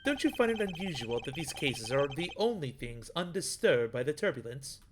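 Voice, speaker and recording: A man's voice: fairly high pitch, sing-song, moderate pace, noisy background. A man playing a composed, exacting prosecutor in a video game fan dub, speaking formally and precisely.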